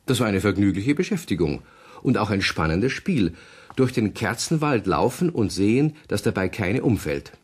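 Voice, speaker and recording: A man with a husky voice, narrating a children's radio play as a storyteller. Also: on the low side, very animated, normal speed.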